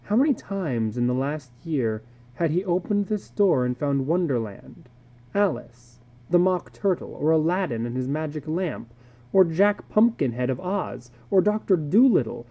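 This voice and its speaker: A man giving a dramatic reading of a short story, deliberate and brooding, with pauses between phrases. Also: a little high, dark tone. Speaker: narrator.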